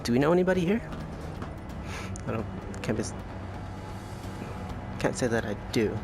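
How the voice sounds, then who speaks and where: A man giving low-key, casual commentary on his sim race, thinking aloud, his voice worn from losing it. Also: expressive, moderate pace, gravelly. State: losing his voice, clearing throat. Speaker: sim racing streamer. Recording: music and car engines behind. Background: racing game music and engine sound.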